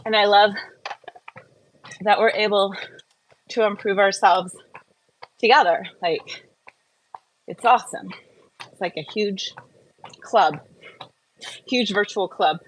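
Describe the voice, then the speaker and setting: A woman's voice: mid-range voice, takes it slowly, long pauses. Chatty woman on a noisy live stream. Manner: informal, rambling, chatty. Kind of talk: casual live Q&A chat.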